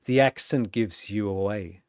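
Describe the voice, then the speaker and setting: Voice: deep voice, unhurried, darkish tone, clean tone, no echo, clean studio sound, voice pushed down. A narrator voicing a suave, teasing male vampire rogue with a silky, amused, flirtatious manner in an audio story. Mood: amused admiration.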